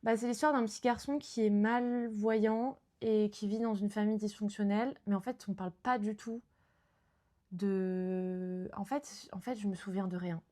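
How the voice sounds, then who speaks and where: A woman's voice: medium pitch, steady tone, normal speed, dark tone. A young woman streamer chatting casually about books, with many fillers and restarts.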